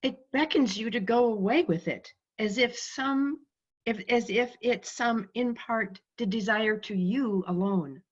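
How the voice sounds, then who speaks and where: A woman's voice: fairly high pitch, measured pace. A woman reading the part of a prince's loyal, watchful friend in a Shakespeare play over a video call. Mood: alarmed. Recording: slightly dull video-call audio.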